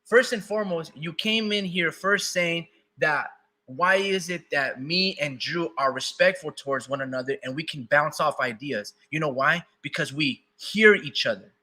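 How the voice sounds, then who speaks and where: Male podcast co-host, slightly higher voice, bright, husky, laid-back arguing. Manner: talks in quick interruptions, says "bro" and "hold on" a lot. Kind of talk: casual heated debate.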